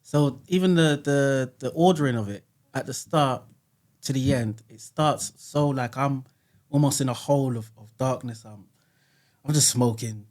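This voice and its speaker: A man's voice: mid-range voice, normal speed, a little mellow. A man talking earnestly and reflectively as a podcast guest, often repeating words as he thinks. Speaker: music artist guest. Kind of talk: casual podcast conversation. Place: podcast studio.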